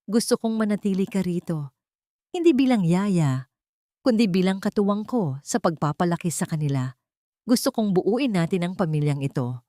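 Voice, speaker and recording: A woman's voice: lowish voice, expressive, moderate pace, dark tone, dry recording, clean studio sound, close to an everyday voice. A woman voicing an earnest, devoted father in an audio drama, speaking sincerely and solemnly. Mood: heartfelt. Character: David, earnest, devoted adult father and wealthy employer. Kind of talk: Tagalog audio drama dialogue.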